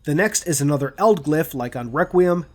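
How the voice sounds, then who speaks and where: A man's voice: a little high, animated, normal speed. A man hosting a scripted commentary on video game lore.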